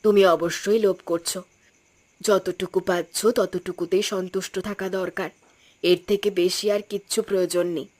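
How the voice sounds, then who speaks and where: A woman's voice: lowish voice, little pitch movement, moderate pace, a little mellow, lightly acted. A woman playing a stern, principled old village woman in a fairy-tale cartoon, speaking in a firm, moralizing way. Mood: gently scolding. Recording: music behind the voice. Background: background music.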